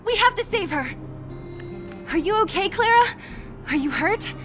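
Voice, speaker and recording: A determined young female fighter from a video game, blunt and protective. Her high voice is direct and emphatic, with music clearly behind it. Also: animated, normal speed, a bit bright. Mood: urgent, resolute.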